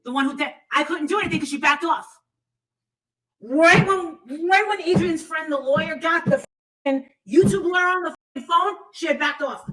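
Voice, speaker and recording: A woman's voice: very high-pitched, normal speed, a bit bright. A woman hosting a casual livestream chat, gossipy and blunt, with frequent profanity, recorded on a distant mic.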